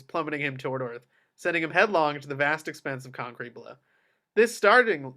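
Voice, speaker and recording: A man narrates a film script with theatrical emphasis. His voice is bright and slightly high, and he delivers the action lines like a dramatic storyteller. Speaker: table read narrator.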